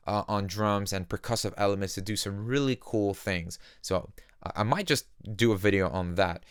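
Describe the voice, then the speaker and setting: A man narrating a casual music software tutorial, explaining each step in simple terms as the host. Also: on the low side, clean tone, dry recording, clean studio sound. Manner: explanatory, uses simple analogies.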